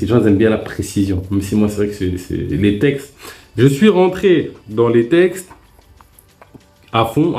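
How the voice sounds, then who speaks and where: Guy talking low and mellow on a live stream, earnest, lots of back and forth, roomy echo. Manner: earnest, explaining his views at length. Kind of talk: casual spoken monologue.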